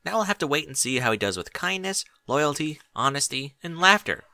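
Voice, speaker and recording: Guy doing an earnest princess mare, reasoning things out, higher voice, bright, storybook style. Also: big pitch swings, dry recording, studio quality, full character voice. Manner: reasoning, deliberate.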